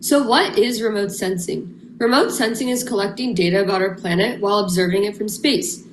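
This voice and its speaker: A teenage girl from San Diego reading a scripted science presentation in an even, steady way. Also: medium pitch, a little fast, reverberant, slight background noise. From San Diego, California. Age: teens. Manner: reads evenly from a script. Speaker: student presenter.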